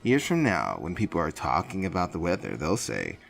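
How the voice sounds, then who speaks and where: A man's voice: low voice, huge pitch swings, moderate pace, gravelly, faint music behind, heavily acted. A man voicing a sly, flirtatious society woman with a wry, teasing manner in a comic book drama.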